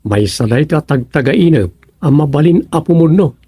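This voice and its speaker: An actor playing a chatty, daydreaming village man in a comic radio serial, with an Ilocano accent and a husky, gravelly voice. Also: a little high, lively intonation, moderate pace, mellow, no room sound. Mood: eager, chatty. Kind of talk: comedic radio serial dialogue.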